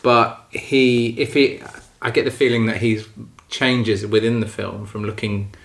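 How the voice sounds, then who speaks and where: A man's voice: on the low side, little pitch movement, measured pace, creaky, a bit bright. A man co-hosting a podcast, discussing films casually and giving opinions while checking that his listener agrees. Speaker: podcast host.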